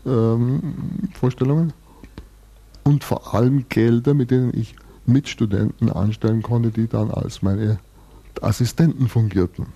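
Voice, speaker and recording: Man in his sixties, interviewee, very dark, husky voice, storytelling with dry wit. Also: mid-range voice. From Bavaria, Germany. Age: 60s. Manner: thoughtful, long-winded, restarts and fillers, dry humor. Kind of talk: reflective personal storytelling in a radio interview.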